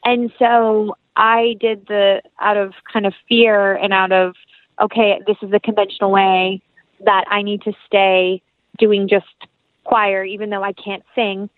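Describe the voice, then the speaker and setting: A woman's voice: medium pitch, level tone, measured pace, no room sound, phone-quality sound. A woman giving conversational interview answers as a guest actress, reflective and earnest, often telling little anecdotes.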